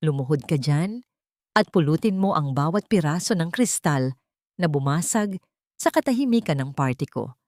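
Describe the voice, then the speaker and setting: Cruel, haughty rich young woman voiced by a woman narrator, harsh and commanding, lowish dry voice, storybook audio drama. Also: lively intonation, normal speed, darkish tone, dry recording. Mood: cruel, scornful.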